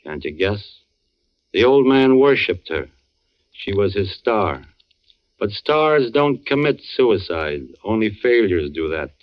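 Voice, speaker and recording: Somber, low-voiced family friend. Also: male voice, sing-song, slow pace. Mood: grave. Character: earnest male family friend, somber and knowing. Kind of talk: 1940s radio drama acting. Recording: muffled old radio recording.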